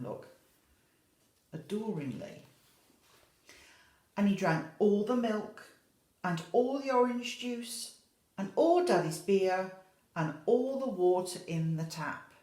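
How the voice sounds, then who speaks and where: A woman teacher reading a picture book to young children in an expressive way, adding chatty asides and questions. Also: mid-range voice, measured pace, pauses often, reverberant. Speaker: infant school teacher.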